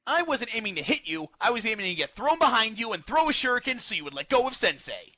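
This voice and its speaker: A man acts out a brash, dim young cartoon ninja boy. The voice is high and jumps around as he argues, recorded dry and a little dull for a parody dub. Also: big pitch swings, quick pace, dry recording. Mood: indignant. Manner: brash, argumentative. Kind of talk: comedic anime parody dub.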